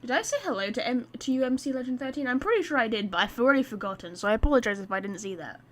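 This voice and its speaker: A woman's voice: fairly high pitch, animated, very fast, a bit bright. A woman live streaming a game, chatting informally to her viewers with wry, casual commentary.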